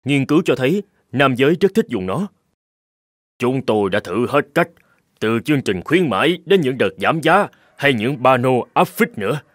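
A man's voice: mid-range voice, very animated, moderate pace, dry recording, studio quality. A man voicing a stern, demanding and dismissive company director in a cartoon, speaking bluntly and scornfully. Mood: exasperated. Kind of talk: dubbed cartoon dialogue.

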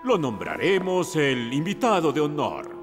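An actor playing a scheming, pompous middle-aged mayor in a cartoon, theatrical, oily and blustering. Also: male voice, fairly high pitch, huge pitch swings, measured pace, big-room sound, over music, full character voice. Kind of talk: animated cartoon dubbing.

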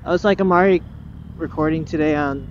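A man vlogging from his motorcycle at night, chatting with a friend in a relaxed, joking way and trailing off mid-sentence. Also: on the high side, fast. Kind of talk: casual chat while riding. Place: outdoors on a motorcycle on city streets at night. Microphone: helmet or bike-mounted action camera. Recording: muffled helmet mic with road noise.

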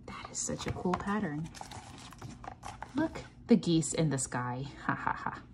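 A woman's voice: low voice, very animated, measured pace, in a whisper. A woman teacher leading a children's read-along lesson, encouraging, asking questions and chanting patterns aloud. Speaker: teacher reading a picture book to children. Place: indoors at a table.

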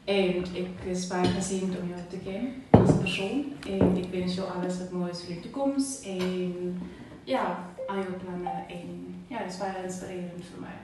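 South african woman giving a slow toast, noisy room, far mic. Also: fairly low pitch, fairly even intonation. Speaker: guest. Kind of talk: birthday wish. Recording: echoey room with loud noise, mic far.

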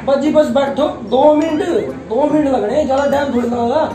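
A man's voice: very high voice, steady tone, normal speed, reverberant, music in the background. A young man playing a brash barber in a comic sketch, bossing and stalling his customers in a small shop. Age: young adult.

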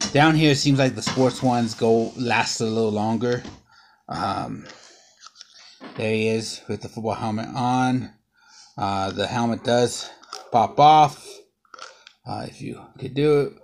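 A man in his thirties, bruised and sore after a fall, rambling through a casual haul vlog at home with plenty of ums and uhs, recorded by a close low-angle camera. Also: slow, long pauses, a little hiss or hum. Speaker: vlogger. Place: living room at home.

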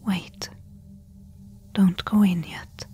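A woman playing a careful, eager temple explorer in a soft-spoken ASMR audio roleplay, hushed and deliberate. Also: mid-range voice, steady tone, a little hiss or hum. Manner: hushed, slow, deliberate whispering.

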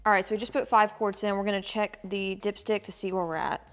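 A woman casually narrating her own car maintenance in her home garage, chatty and quick to laugh off her own mishaps. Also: mid-range voice, flat delivery, over loud music. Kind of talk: casual how-to narration while working. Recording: echoey shop with long reverb.

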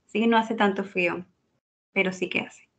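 A young woman with a gravelly, fry-tinged voice chats as an interview guest. She talks in an easy, lively way, often breaking into laughter. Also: medium pitch, normal speed, lo-fi sound. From Venezuela (Caracas). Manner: chatty, lively, laughs easily. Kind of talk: casual interview chat.

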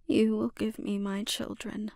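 A menacing sorceress acted out by a woman speaks very slowly, with a creaky edge to her voice. She is calm, dominant and taunting, often slipping into a whisper. The ASMR roleplay is close and dry. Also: medium pitch, no room sound. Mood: intense, resolute. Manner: slow, deliberate, taunting, lapsing into breathy whispers. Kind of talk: ASMR roleplay, intimate scripted monologue.

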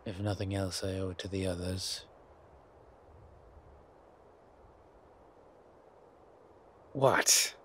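A man playing a haughty, wry vampire gentleman in a roleplay audio drama, speaking formally and with refinement. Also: low voice, level tone, a little hiss or hum. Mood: determined, scornful. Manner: refined, formal, wry phrasing with hesitant pauses.

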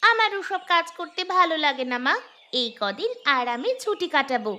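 A woman's voice: high-pitched, big pitch swings, moderate pace, sharp tone, exaggerated voice. A woman voicing a whiny, spoiled young village girl who complains petulantly in a fairy-tale cartoon. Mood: sulky, defiant.

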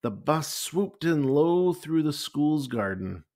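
A man reading a children's picture book aloud with expressive, deliberate storytelling. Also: fairly high pitch. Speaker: story time reader.

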